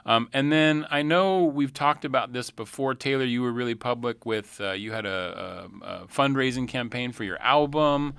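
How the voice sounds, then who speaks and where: A man's voice: medium pitch, moderate pace, creaky, few pauses, fairly bright tone, clear, resonant tone, no room sound. A man hosting a casual live radio interview, chatty and rambling as he talks with his guests. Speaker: radio show host. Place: radio studio.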